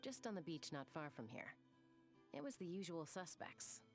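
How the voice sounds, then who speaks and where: An actress playing a cool, composed young heroine in a fantasy video game. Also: female voice, on the low side, big pitch swings, moderate pace. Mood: matter-of-fact. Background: game music.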